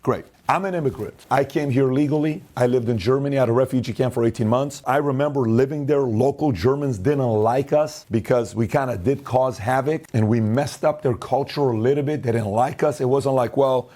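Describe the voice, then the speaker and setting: A middle-aged man delivers an argumentative monologue, pressing his points with emphasis. His voice is warm and mellow, and he slips into mocking quotes of the other side. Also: mid-range voice. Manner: emphatic, argumentative, acts out opponents in quick quoted asides. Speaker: host. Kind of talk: opinion monologue to camera.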